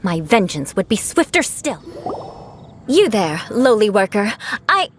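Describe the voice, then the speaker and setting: A woman's voice: medium pitch, sing-song, a little slow, slight background noise. An actress playing a proud young noblewoman knight in a video game, speaking in a haughty, formal, theatrical way. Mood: indignant. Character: proud aristocratic young female knight, haughty, vengeful, secretly caring.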